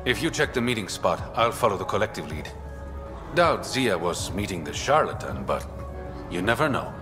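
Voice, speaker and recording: An actor playing a smooth, wry, roguish smuggler in a space video game, with a teasing, self-mocking manner. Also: male voice, lowish voice, sing-song, moderate pace. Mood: businesslike. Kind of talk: video game dialogue acting. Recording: music behind the voice.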